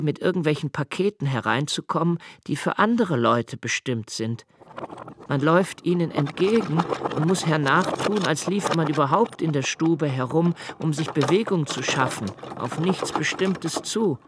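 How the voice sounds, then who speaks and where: An actor playing a reflective, melancholy diarist in a literary radio play, speaking intimately and pensively with halting phrasing. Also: male voice, on the high side, lively intonation, very noisy, lightly acted. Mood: reflective, wry. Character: reflective, melancholy male diarist recalling love and childhood.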